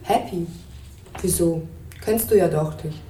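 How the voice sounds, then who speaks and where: An actress playing a young squatter who gives curt, clipped, evasive answers. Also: female voice, on the low side, measured pace, mellow, lightly acted. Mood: offhand. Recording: echoey room, faint noise.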